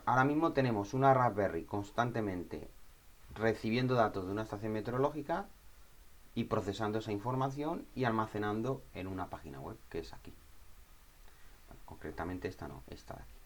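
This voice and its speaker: A man giving an informal software tutorial, explaining step by step, repeating himself and checking in with short tag questions. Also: fairly low pitch. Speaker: tutorial instructor.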